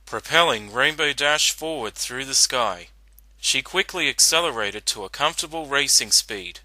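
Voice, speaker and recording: A man narrating a dramatic reading of fan fiction in an earnest, deliberate storytelling manner. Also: mid-range voice, sharp tone, dry recording, poor audio.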